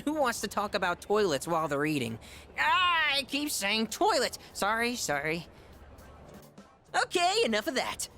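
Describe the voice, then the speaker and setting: A pompous teenage foodie from a video game. The voice is high for a male and swings theatrically from squeals to low asides, over faint background music. He sounds bombastic and greedy. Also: bright, forceful tone, full character voice. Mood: flustered. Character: gluttonous, pompous, theatrical male high-school student, self-styled gourmet.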